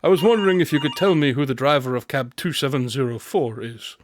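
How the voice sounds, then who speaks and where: An actor playing a shrewd, composed detective in an audio drama, speaking in a measured, analytical and assured way. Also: male voice, mid-range voice, slight background noise, close to an everyday voice. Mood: probing, composed.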